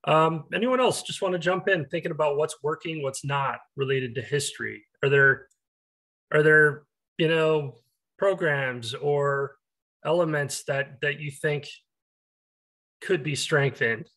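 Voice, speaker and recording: Guy facilitating a zoom meeting, kind of higher voice, encouraging everyone to talk. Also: measured pace, sharp tone. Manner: encouraging, inviting others in. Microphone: video call.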